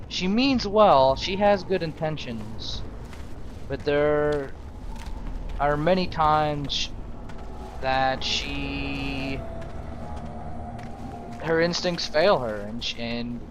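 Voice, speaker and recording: A man hosting a game role-play, improvising narration and haltingly searching for his words. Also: a little high, background noise. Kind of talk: improvised storytelling over a game. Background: game music.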